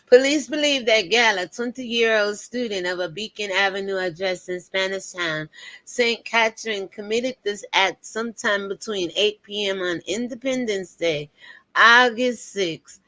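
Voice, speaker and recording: A woman's voice: medium pitch, measured pace, sharp tone. Chatty woman in her fifties. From United States. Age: 50s. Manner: chatty, preachy asides to viewers. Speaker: commentary show host. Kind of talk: casual commentary to viewers.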